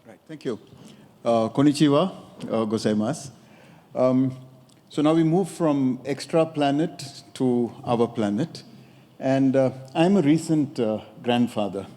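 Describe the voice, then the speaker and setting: A man in his sixties from India with an Indian accent gives a measured, pausing conference talk. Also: mid-range voice, dark tone. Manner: measured, pausing with occasional hesitations. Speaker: design academic giving a talk. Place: lecture hall at a podium. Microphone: podium microphone. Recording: echoey hall.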